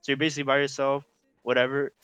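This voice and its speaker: A man's voice: medium pitch, monotone, very fast, a bit bright, smooth, no room sound. A man in his late teens from Canada, chatting as a podcast guest in rambling slang full of "like" and "bro". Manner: laid-back, rambling slang with many fillers. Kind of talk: casual chat.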